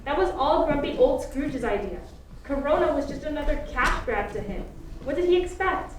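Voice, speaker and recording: A woman playing a scornful, sharp-tongued character in a radio play. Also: sits high, animated, moderate pace. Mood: indignant. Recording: echoey distant stage with background noise.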